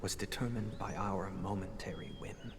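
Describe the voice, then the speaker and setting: An actor playing a soft-spoken, courteous, brooding young mastermind in a video game, speaking in measured, formal, gently persuasive monologue. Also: male voice, low-pitched, fairly even intonation, noisy background, close to an everyday voice. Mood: wistful, grave. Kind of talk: scripted video game dialogue, storytelling monologue. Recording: long echoing reverb, loud music behind. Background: game music.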